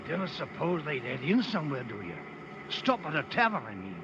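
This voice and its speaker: A man's voice: fairly high pitch, very animated, a little fast. An actor playing a dogged henchman, defensive but obedient, in 1930s radio drama style. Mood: obliging, grim. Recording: noisy muffled 1930s radio broadcast.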